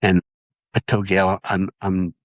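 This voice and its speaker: Earnest nasal-voiced man, low pitch. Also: level tone, no room sound, studio quality. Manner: earnest, halting with repeated restarts. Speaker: guest sharing his testimony. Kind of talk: casual interview talk.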